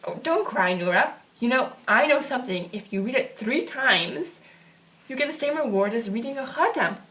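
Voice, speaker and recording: Ismail, a woman doing a shy, gentle puppet boy. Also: fairly high pitch, lively intonation, normal speed, recorded from a distance, poor audio. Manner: soft-spoken, hesitant, polite. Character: gentle, modest young boy puppet, her brother. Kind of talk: children's puppet show.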